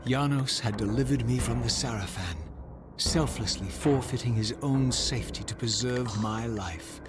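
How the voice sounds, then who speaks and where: A man's voice: mid-range voice, moderate pace, background noise, close to an everyday voice. A male actor playing a brooding, bitter undead wraith with grave, eloquent, theatrical delivery in a game cutscene. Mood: grim, urgent. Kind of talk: dramatic game cutscene acting and first-person narration. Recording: loud music bed behind voice.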